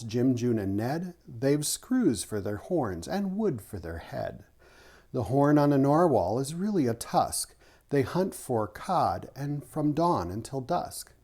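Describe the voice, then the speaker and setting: Man, children's read-aloud, author and art teacher, soft-edged warm tone, animated intonation, rhythmic teacherly delivery. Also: medium pitch. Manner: rhythmic reading of rhyming verse, teacherly asides. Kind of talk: children's picture-book read-aloud with short explanations.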